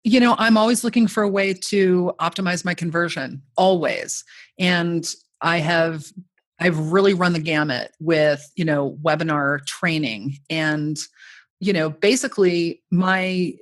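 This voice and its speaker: A woman's voice: lowish voice, measured pace, no echo, clean studio sound. A woman in her forties, a course creator guest, talking casually and a bit self-deprecatingly, with a voice people call made for radio. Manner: chatty, self-deprecating, frequent "you know". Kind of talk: casual podcast interview.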